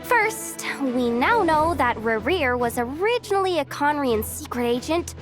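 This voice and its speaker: Brisk, chatty informant. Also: female voice, high voice, expressive, faint music behind.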